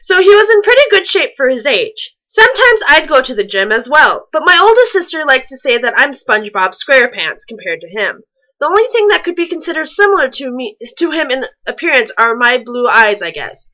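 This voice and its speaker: Bright, high-pitched woman, very lively reader. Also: a little fast, low-quality recording. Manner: wry, nitpicking. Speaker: fan fiction reader and commentator. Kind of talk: reading a story aloud with mocking asides.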